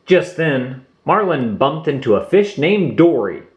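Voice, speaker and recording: A man reading a children's storybook aloud in an expressive storytelling manner. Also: a little high, unhurried, highs cut off. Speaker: storybook reader. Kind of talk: children's read-aloud narration. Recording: roomy echo.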